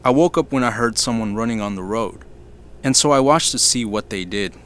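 A man plays a quiet, watchful farmhand in an audio drama. He speaks softly and haltingly, at an ordinary middle pitch, and never raises his voice. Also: slight background noise, close to an everyday voice. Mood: hesitant.

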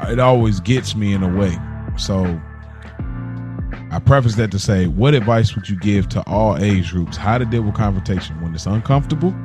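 A man co-hosting a podcast, chatting casually and earnestly, repeating phrases for emphasis. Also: fairly low pitch. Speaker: podcast co-host. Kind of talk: casual conversation.

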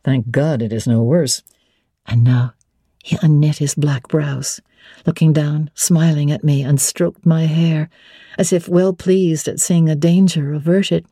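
Woman reading a classic novel dramatically, dark husky voice, close dry sound. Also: low-pitched, fairly even intonation, measured pace, no echo. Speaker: radio audiobook narrator. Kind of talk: dramatic literary narration.

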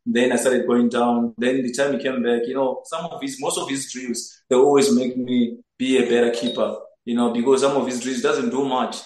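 Earnest Zimbabwean-born goalkeeper, flat voice. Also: male voice, medium pitch, normal speed, few pauses. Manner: earnest, repeats words while searching for them. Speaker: footballer, goalkeeper. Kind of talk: interview answers over a remote link. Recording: echoey room.

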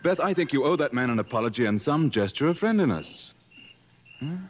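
An actor playing a reasonable, gently teasing gentleman explorer, measured and genteel, in 1950s radio drama style. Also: medium pitch, animated, a little hiss or hum, lightly acted. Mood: gently coaxing. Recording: muffled old radio broadcast with music.

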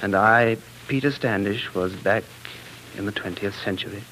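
An actor playing an anguished, passionate time traveler in love, in theatrical 1940s radio drama style. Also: male voice, on the low side, normal speed, a bit bright, slight background noise, rough recording. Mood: somber, reflective. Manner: impassioned, theatrical.

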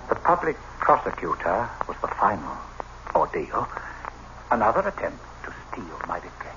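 An actor playing a scheming, insanely vengeful husband in an intense, theatrical 1960s radio drama style. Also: male voice, high-pitched, dull audio. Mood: brooding, suspicious. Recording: noisy, band-limited old radio recording.

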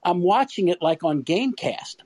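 A man telling a casual anecdote as a fan guest, halting and exasperated as he explains. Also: high voice, lively intonation, darkish tone, no echo.

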